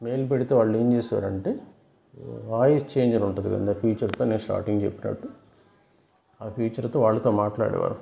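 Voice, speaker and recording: A man's voice: on the low side, steady tone, brisk, creaky, mellow. A man explaining things casually to viewers as a video host, often repeating and rephrasing his points. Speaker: video host giving fraud awareness advice. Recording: roomy, dull lo-fi sound.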